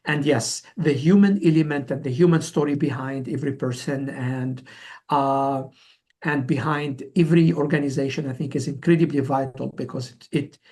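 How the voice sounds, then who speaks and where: A man in his forties from Algeria answering as an interview guest, telling his story conversationally in a halting way, often repeating words and restarting. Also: medium pitch, steady tone, darkish tone. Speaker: interview guest, company founder.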